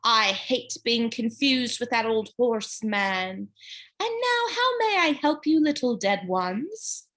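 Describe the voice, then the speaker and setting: A woman voicing a smug, greedy male ferryman of the dead with a vaguely British, foreign-tinged accent, speaking slowly in a sweet, cold way. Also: on the high side, animated, a bit bright, pitched above the performer's own voice. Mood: silky, mocking. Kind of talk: audiobook character reading.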